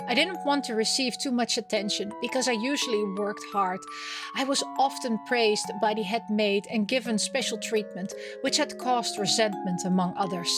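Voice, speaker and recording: A woman streaming a visual novel, chatting casually and reading the game text aloud. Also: mid-range voice. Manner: reacts aloud with small interjections. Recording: music playing behind the voice. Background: game music.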